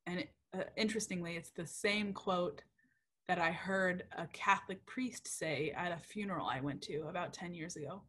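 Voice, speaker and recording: A woman's voice: on the low side, moderate pace, fairly bright tone, breathy edge. A young woman researcher giving a casual, chatty lecture over slides, with many ums, self-corrections and "right?" checks. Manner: uptalk and frequent "right?" checks. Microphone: computer mic on a video call.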